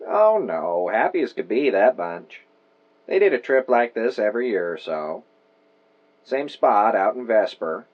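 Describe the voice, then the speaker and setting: Man playing old small-town shopkeeper, audio drama, folksy, wry, very animated intonation, slightly dull recording. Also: fairly low pitch, a little slow. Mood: friendly, folksy. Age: older.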